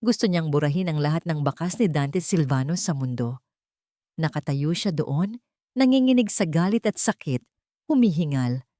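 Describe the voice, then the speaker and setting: A Filipino woman narrates a scripted drama. Her voice sits on the low side, and the recording is dry and close, with little room sound. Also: mellow, no echo. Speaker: story narrator.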